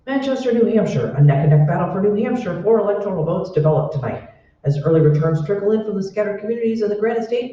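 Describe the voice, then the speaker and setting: A man's voice: on the high side, natural voice. Man playing a formal newsreader, very echoey. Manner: measured announcer delivery. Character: earnest 1940s radio election newsreader. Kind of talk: formal scripted news bulletin. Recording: very echoey, distant dull mic.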